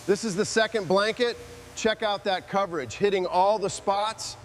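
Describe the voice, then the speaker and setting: A man with a slightly high voice talks through a restoration job as he goes. He speaks in short, casual work phrases, with some faint noise behind him. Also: steady tone, moderate pace. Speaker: restoration video narrator.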